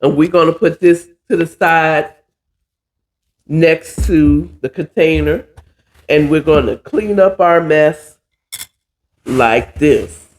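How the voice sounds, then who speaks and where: A hoarse, stuffy-nosed man hosting a casual chat while cooking, drawling and calling his listeners "child". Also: fairly high pitch, slow pace, long pauses. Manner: chatty, drawling, says "child" a lot. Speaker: podcast host.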